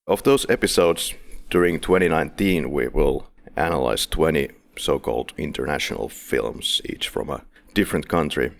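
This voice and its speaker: A man hosts a film podcast with a very low voice and a gravelly edge. He keeps it relaxed and playful. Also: moderate pace. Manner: jokey, playful introductions. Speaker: podcast host. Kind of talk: casual film podcast chat.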